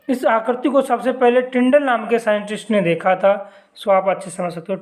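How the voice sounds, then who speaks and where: A young male chemistry teacher with a sore throat, clearing it now and then, who walks through exam questions and answers his own questions. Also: high voice. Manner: repeats points as questions and answers them himself, adds "ji" to sentences. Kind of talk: exam-prep lesson explaining diagrams.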